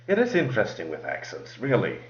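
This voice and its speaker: A man with a heavy Swedish accent talks loosely on a podcast, often laughing and restarting his sentences. His voice sits low. The recording sounds roomy and dull, as if made on a cheap mic. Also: huge pitch swings, fairly quick. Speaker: podcast co-host. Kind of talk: casual chat. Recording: echoey lo-fi mic.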